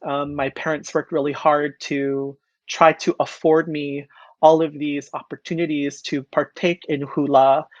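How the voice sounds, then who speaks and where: A speaker from Hawaii whose first language is Hawaiian, a choral artist answering interview questions thoughtfully, with frequent pauses and restarts. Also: mid-range voice, dry recording, muffled recording. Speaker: choral artist, podcast guest. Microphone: video call.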